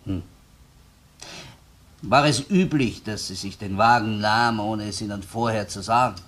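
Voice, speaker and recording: An actor playing a gruff, sardonic Viennese police investigator in a colloquial crime radio play. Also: male voice, fairly low pitch, a little slow, a bit bright. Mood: probing, casual. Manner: colloquial, sardonic, keeps tagging sentences with "ne?". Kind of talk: German-language crime radio play acting.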